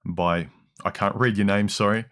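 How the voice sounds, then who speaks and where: Pria bersuara berat, siaran langsung santai. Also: male voice, fairly even intonation, moderate pace, fairly bright tone. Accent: Australian. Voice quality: deep voice with some harshness in the mids and lows. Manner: rambling, with frequent ums and restarts. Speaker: livestream host. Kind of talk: casual livestream chat reading comments. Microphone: dynamic broadcast mic.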